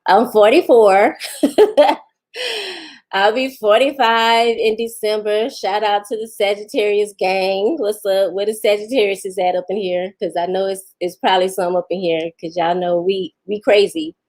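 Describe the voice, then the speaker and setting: A woman in her forties chats loosely with her live audience in a drawl. She laughs easily and teases the viewers who comment. Her voice sits at an ordinary middle pitch for a woman. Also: fairly even intonation, measured pace, a little mellow. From Houston, Texas. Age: 40s. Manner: chatty, laughs often, talks back to viewers. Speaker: vlogger answering live chat. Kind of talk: casual live-stream chat.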